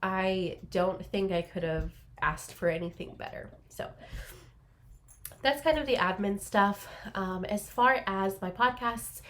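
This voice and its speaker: A woman's voice: medium pitch, a little slow, fairly bright tone, a little hiss or hum. A young woman hosting a craft podcast from her small home office, chatting casually and rambling with lots of ums, a little congested, recorded on a laptop's built-in mic. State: congested from allergies. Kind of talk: casual solo chat to camera.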